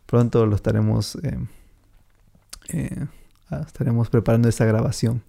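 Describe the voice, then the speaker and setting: A man hosting a podcast, talking casually and rambling with many fillers. His voice is gravelly and mellow, and he laughs easily between thoughts. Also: medium pitch, steady tone, moderate pace. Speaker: podcast host. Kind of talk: casual chat.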